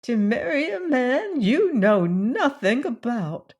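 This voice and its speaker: A woman reader voicing an anxious, fussy elderly woman cousin who pleads and frets in a high fluttering voice. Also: sing-song, slow, a little mellow, breathy edge, exaggerated voice. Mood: pleading fussy.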